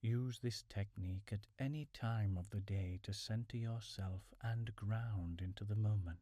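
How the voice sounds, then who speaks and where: Slow, low-voiced man guiding meditation. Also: dark tone, no echo, clean studio sound. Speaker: guided meditation narrator. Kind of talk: calm guided mindfulness meditation. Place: small furnished room at home.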